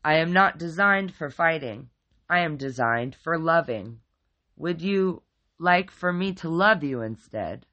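A man voicing a huge, formal male teddy bear demon with a booming, stiff way of speaking. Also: animated, normal speed, sharp tone. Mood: solemn booming. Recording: dull lo-fi recording.

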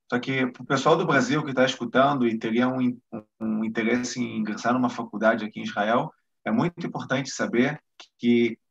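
A man's voice: medium pitch, gravelly. A man from Brazil, a guest in an interview, explaining things hesitantly with many restarts and fillers. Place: lives in Israel. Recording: muffled lo-fi stream audio.

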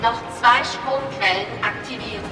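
A woman's voice: on the high side, moderate pace, close to an everyday voice. A woman voicing an impersonal facility computer in an audio drama, making formal system announcements. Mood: impersonal, procedural. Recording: echoey announcement under loud music. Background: loud dramatic music.

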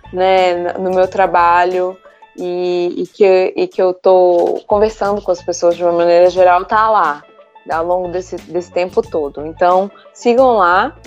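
A woman's voice: mid-range voice, darkish tone. A woman architect in casual podcast chat, talking in a chatty, informal way. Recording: dull lo-fi sound with faint music.

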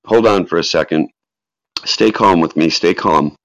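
A man's voice: sits low, a little slow, dry recording, lo-fi sound. A man voicing an earnest, flustered emergency phone operator, urgent and repetitive, in a dramatic reading. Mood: anxious, soothing.

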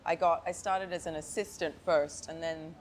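Frank, laughing woman guest, low voice. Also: moderate pace. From Thailand and Hong Kong. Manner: relaxed, frank, laughs often. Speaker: guest artist. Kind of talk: casual podcast interview. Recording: faint background noise.

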